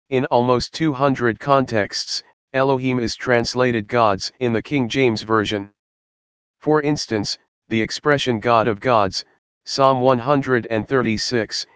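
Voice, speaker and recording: A man reading a scripted Bible study in a measured, earnest, explanatory way. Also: mid-range voice, clean tone, no echo. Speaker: Bible teacher.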